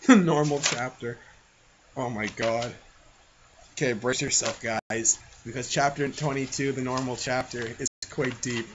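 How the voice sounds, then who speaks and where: A man's voice: mid-range voice, steady tone, a little slow. A giggly, self-mocking, foul-mouthed man hosting a podcast, reading his own childhood fan fiction aloud with casual banter. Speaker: podcast host. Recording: muffled, noisy home podcast recording.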